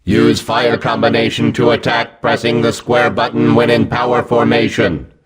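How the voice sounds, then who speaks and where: A man's voice: medium pitch, level tone, moderate pace, breathy edge, natural voice. A man playing a terse, emotionless combat robot in a video game, with clipped mechanical delivery. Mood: flat, matter-of-fact. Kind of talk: video game tutorial voice lines.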